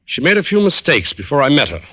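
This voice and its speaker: An actor playing a wealthy, determined gentleman client in an old-time radio drama, speaking in a polite, measured, proper way. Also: fairly high pitch, wildly swooping pitch, slightly rough, exaggerated voice. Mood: grave, resolute. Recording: muffled vintage radio recording.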